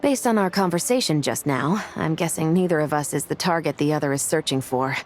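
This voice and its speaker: A woman's voice: low-pitched, fairly quick, lightly acted. An actress playing a serious, cautious woman police investigator in a video game, speaking formally and in a businesslike way. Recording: music behind the voice.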